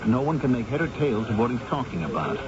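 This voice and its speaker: Radio drama casting: male actor for an insistent, persuasive reporter with a sales-pitch delivery. Lively intonation, muffled old recording. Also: fast talker, crowd noise, close to an everyday voice. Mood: eager, persuasive.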